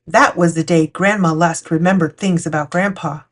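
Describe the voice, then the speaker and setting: A woman's voice: on the low side, steady tone, moderate pace. Woman reading a children's storybook aloud. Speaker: children's picture book read-aloud host. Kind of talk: storytime read-aloud narration.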